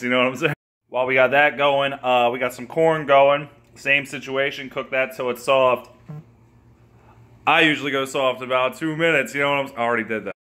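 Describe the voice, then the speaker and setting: A young man in his twenties filming a comedic cooking video in his home kitchen on a phone held at arm's length, cracking crude deadpan jokes and swearing freely. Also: mid-range voice, clean tone. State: hungover.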